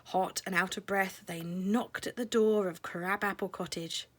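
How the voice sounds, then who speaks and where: A woman narrating a children's storybook in a measured, expressive way, letting phrases end softly. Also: mid-range voice.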